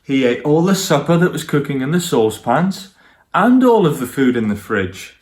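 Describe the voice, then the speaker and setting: A man's voice: fairly high pitch, very animated, moderate pace, darkish tone. A man reading stories for young children and speaking to them directly as a guest storyteller.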